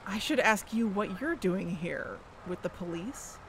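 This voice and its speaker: A woman's voice: mid-range voice, lively intonation, measured pace, background noise. A woman playing a dogged, impatient investigator in a podcast audio drama. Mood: curious. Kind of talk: audio drama acting with narration.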